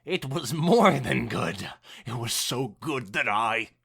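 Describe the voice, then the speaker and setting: A woman's voice: rumbling low, animated, slow pace, creaky, fairly bright tone, breathy edge, pitched below the performer's own voice, heavily acted. A woman voicing an earnest, bookish female pony who explains herself in a flustered, wordy way in a fanfiction reading. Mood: emphatic, flustered. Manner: emphatic, defensive, wordy explaining.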